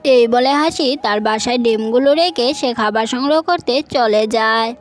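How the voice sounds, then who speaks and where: A woman's voice: on the high side, normal speed. A woman narrating a scripted children's animal story, with music playing behind her.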